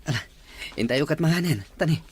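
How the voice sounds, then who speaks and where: A man playing an easygoing, evasive husband and father with a husky voice in an audio drama. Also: on the high side, lively intonation, fairly quick, lightly acted. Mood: evasive, placating.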